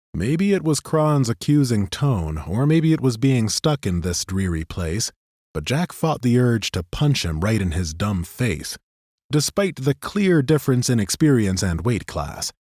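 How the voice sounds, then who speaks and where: A male narrator reading a fantasy audiobook in a steady, even storytelling style. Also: mid-range voice, a little slow, no echo, clean studio sound.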